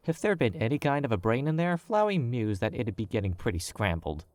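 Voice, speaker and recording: A man narrating a fan fiction as an audiobook, in a measured, wry storytelling manner. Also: medium pitch, big pitch swings, a little mellow, no echo.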